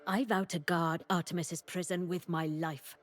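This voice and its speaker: A woman's voice: fairly low pitch, fairly even intonation, normal speed, soft background music, lightly acted. Woman playing a proud, loyal ranger leader. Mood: resolute, friendly. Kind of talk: dramatic fantasy game acting.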